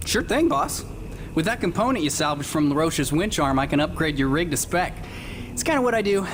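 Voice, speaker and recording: Chirpy helpful mechanic, high voice, very animated, noisy ambience behind him. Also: male voice, a little fast. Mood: upbeat, helpful. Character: cheerful, helpful rig mechanic. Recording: clear noise, busy ambience behind.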